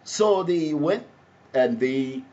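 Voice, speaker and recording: A man from Egypt with an Egyptian Arabic accent, speaking off the cuff in a halting, repetitive, rambling monologue. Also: on the high side, expressive, warm tone. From Alexandria, Egypt. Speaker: activist, self-described advocate. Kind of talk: impromptu spoken monologue to camera. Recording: muffled audio with faint background noise.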